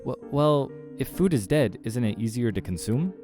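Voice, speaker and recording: A man's voice: mid-range voice, expressive, moderate pace, warm tone, soft background music, pitched above the performer's own voice, lightly acted. A man voicing a polite, affable human man on a date in a visual novel, mild and accommodating. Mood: helpful. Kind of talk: acted visual novel dialogue.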